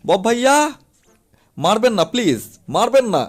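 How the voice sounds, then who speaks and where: High-pitched, flustered cartoon cat played by a man. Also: very animated, normal speed. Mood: frantic scolding. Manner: exclaiming, dramatic. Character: excitable, flustered male cartoon cat running a barber parlour. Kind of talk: comic Bangla cartoon fan dub. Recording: music under the voice.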